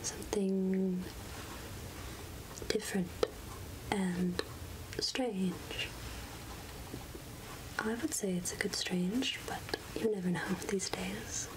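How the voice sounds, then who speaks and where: Casting: gentle, scatterbrained witch healer played by a woman, breathy half-whisper, very unhurried, hesitant, ASMR roleplay with audible background noise. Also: medium pitch, steady tone. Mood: curious, gentle. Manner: slow, gentle, hesitant murmuring with repeated phrases. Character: gentle, absent-minded witch healer and potion seller. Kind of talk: soft-spoken ASMR roleplay.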